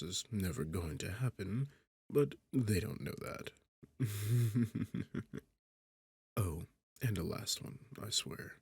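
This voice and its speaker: A man's voice: fairly low pitch, vocal fry, a little mellow, breathy edge, dry recording, full character voice. A man playing an arrogant, sarcastic demon king in a seductive fantasy ASMR roleplay, with a smug, teasing, condescending manner. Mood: smug, boastful. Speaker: audio roleplay performer.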